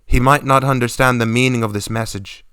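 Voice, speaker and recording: A man voicing a level-headed young woman, a dutiful daughter who speaks calmly but uneasily. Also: mid-range voice, fairly quick, clear, resonant tone, no echo, studio quality, voice pushed up, close to an everyday voice. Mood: uneasy. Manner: calm but uneasy, questioning.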